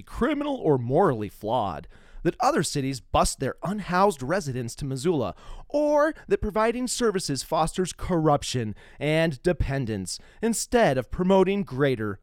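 A man's voice: on the high side, no echo, clean studio sound. A middle-aged man hosting a podcast, shifting between dramatic reading and casual talk. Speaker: podcast host.

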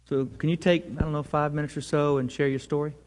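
A man preaching from the front of a church in a slightly high voice. He invites the congregation to join in reading aloud and calls a guest up to speak. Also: steady tone, fast, a little mellow. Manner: inviting, leads scripture reading. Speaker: pastor. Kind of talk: sermon.

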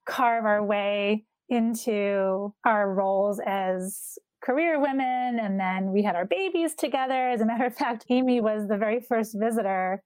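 A woman in her forties hosts with a heartfelt, unhurried delivery in a mid-range voice. Her sound is dry and close, with no room around it. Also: medium pitch, smooth, no room sound. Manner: warm, heartfelt, slow and deliberate. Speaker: host. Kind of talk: interview hosting.

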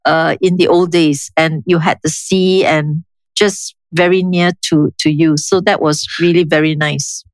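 An older woman with a Singaporean accent, speaking in a measured, reflective, explanatory way as an interview guest. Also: deep voice, fairly even intonation, dry recording. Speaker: interview guest, urban planning official. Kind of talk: conversational interview, reminiscing.

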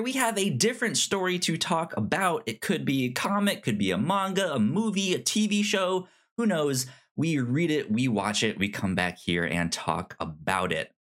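Lively man hosting a podcast. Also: medium pitch. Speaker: podcast host. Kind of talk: casual podcast chat.

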